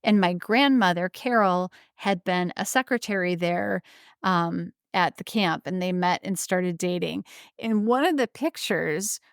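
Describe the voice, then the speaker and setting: A woman from Wisconsin, co-hosting a podcast, telling a family story in a chatty, animated way. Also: lowish voice, a little slow, creaky, clean tone, no echo, studio quality. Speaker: podcast co-host, antique shop owner. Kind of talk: casual storytelling chat.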